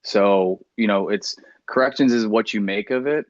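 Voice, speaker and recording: An American man in his thirties with a fairly low voice. He speaks in an earnest, plainspoken way in a casual roundtable, recorded dry and a little muffled. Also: moderate pace, no room sound. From New Jersey, United States. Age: 30s. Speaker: podcast panelist.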